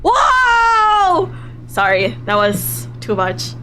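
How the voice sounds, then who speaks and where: A woman livestreaming a visual novel, reading story text aloud and commenting on it in an animated, reactive way. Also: very high voice, very slow, a little hiss or hum, poor audio. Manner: animated, reactive, giggly, drops into hushed narration. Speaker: streamer playing a visual novel live.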